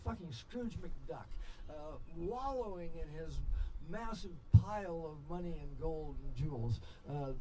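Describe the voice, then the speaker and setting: An older American man telling stories live, rambling and halting, with impassioned digressions and salty language. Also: a little high, expressive. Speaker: storyteller and speaker at a festival. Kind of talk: rambling live storytelling to an audience. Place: live storytelling session at a festival. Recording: noisy live event ambience.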